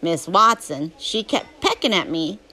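A woman plays a restless, plainspoken country boy telling his own story from a storybook. The accent is Southern US, folksy and drawling, and the pitch swings freely from phrase to phrase. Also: animated, measured pace, bright, forceful tone, exaggerated voice. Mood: matter-of-fact, defiant. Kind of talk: first-person storybook narration.

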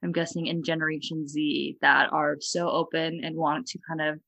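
A woman's voice: sits low, level tone, moderate pace, vocal fry, fairly bright tone, dry recording. A young woman from California talking in a casual, earnest way, with a lot of "like" fillers. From California, USA. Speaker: guest. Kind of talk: casual conversation.

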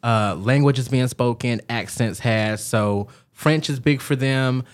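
A man's voice: mid-range voice, takes it slowly. A young man from Georgia with a Southern accent, chatting casually as a podcast co-host. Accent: Southern American. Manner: chatty storyteller, frequent likes and ums. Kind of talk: casual chat.

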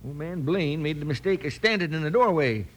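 A man's voice: fairly high pitch, animated, fairly quick. A man playing an earnest, plain-spoken frontier townsman with an American accent in a 1950s radio Western. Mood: anxious, earnest.

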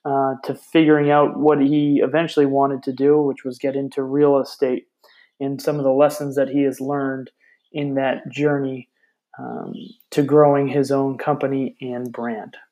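A man's voice: mid-range voice, level tone, normal speed, mellow, poor audio. A man hosting an interview show, introducing his guest and asking questions. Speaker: podcast host, interviewer.

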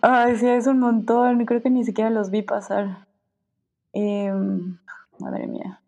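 A woman's voice: fairly high pitch, level tone, takes it slowly, warm tone, muffled recording. A young woman with a Mexican accent, a guest chatting casually, rambling with many fillers and restarts. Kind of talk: casual conversation.

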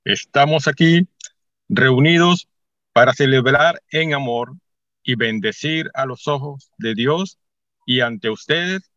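Slow, solemn priest. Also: male voice, mid-range voice, a bit bright, no room sound, muffled recording. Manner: solemn, measured. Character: solemn male priest officiating a church wedding. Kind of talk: ceremonial radio drama acting.

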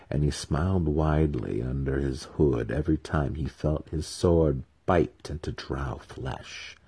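A man giving a dramatic scripted narration of a fantasy audiobook in a husky voice. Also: very deep voice, warm tone.

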